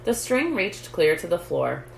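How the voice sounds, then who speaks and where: A woman with a low, gravelly voice reads a children's story aloud in a measured way. The recording is roomy and echoey, with light noise behind her. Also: big pitch swings. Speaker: storytime reader, host. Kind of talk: reading a children's book aloud.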